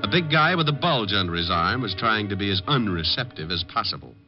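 An actor playing a suave, tough, sardonic private detective in a 1950s radio drama. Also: male voice, fairly low pitch, animated, a little fast, music in the background, natural voice. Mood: matter-of-fact narrating. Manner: sardonic, dry wisecracks. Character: suave, quick-witted, wisecracking tough male private detective. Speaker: lead actor and first-person narrator. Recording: dull old radio recording.

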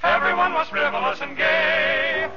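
A man's voice: extremely high pitch, steady tone, a little slow. A man singing a novelty song in a vocal group, in 1940s radio style. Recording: muffled old radio recording with music.

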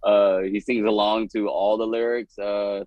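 A low-pitched young man from East Dallas, Texas, chatting candidly on a podcast. He rambles in an animated way and laughs a lot. The sound is dry and a bit dull. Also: a little slow, no echo. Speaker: comedian guest.

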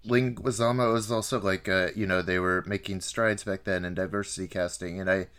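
Male podcast co-host, low voice, tentative, conversational film talk. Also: steady tone, fairly quick, a bit bright, clear, resonant tone. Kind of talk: casual movie discussion.

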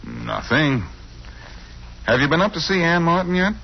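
Husky, wisecracking radio sleuth man. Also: on the high side, expressive, moderate pace, exaggerated voice. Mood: cool, defiant. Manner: glib, teasing. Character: wisecracking, cool, unflappable amateur sleuth man. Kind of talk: 1940s radio acting. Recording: muffled old-time radio recording.

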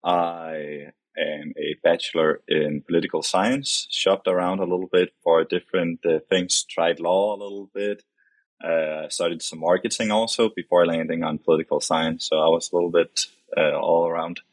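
A man in his late twenties with a Danish accent, chatting casually and haltingly as a modest interview guest. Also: deep voice, flat delivery, no room sound. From Denmark, grew up in Copenhagen. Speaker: podcast guest, company vice president.

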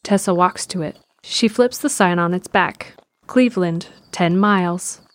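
A woman's voice: on the low side, unhurried, dry recording. A woman narrating a scripted audio drama in the present tense, describing the action.

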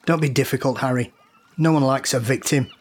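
An actor playing a blunt, grieving diver. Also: male voice, mid-range voice, fairly even intonation, fairly quick, lightly acted. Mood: tense. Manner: blunt, swearing, guilty. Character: grieving man, Clive, a diver. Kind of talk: audio drama acting.

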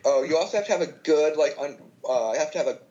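A man's voice: on the high side, lively intonation, fast, warm tone, lo-fi sound. A man in his twenties, a podcast guest, talking casually with lots of fillers and false starts. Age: 20s. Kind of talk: casual chat.

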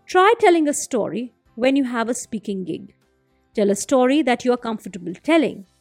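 A woman storyteller hosting a folktale show, narrating and commenting expressively with dramatic, deliberate phrasing. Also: on the high side, lively intonation, a little mellow, dry recording, studio quality, faint music behind. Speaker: storyteller and podcast host.

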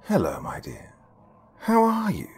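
A man's voice: high voice, wildly swooping pitch, unhurried, breathy edge, voice pushed up, full character voice. A wicked carnival artist who mocks and scolds with theatrical relish, put on by a man. His voice rises and falls widely as he toys with his victim. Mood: mocking. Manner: mocking, stern, theatrical.

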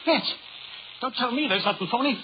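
An actor playing a cowardly, suspicious small-time crook in 1930s radio drama, whining and pleading in slang. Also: male voice, extremely high pitch, animated, brisk. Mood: nervous, pleading. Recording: muffled, noisy 1930s radio recording.

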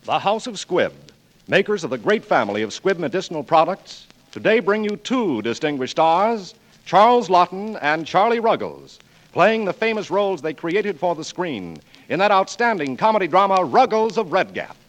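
Male American announcer, 1940s broadcast style, declamatory and very animated, music playing behind. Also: high-pitched, normal speed, rough recording. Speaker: radio announcer. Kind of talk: 1940s radio announcing, scripted.

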